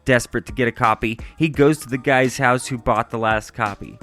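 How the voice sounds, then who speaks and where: A man's voice: medium pitch, fairly even intonation, a little fast, fairly bright tone, soft background music. A man narrating a scripted review as the reviewer.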